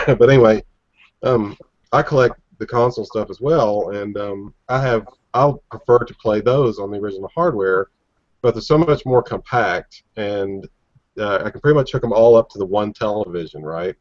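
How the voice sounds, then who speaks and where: A man's voice: fairly low pitch, normal speed, no echo. A man chatting casually and informally as he leads a panel discussion on a video call, in folksy phrasing. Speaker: collector panelist leading discussion. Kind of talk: casual chat. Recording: dull lo-fi video-call audio.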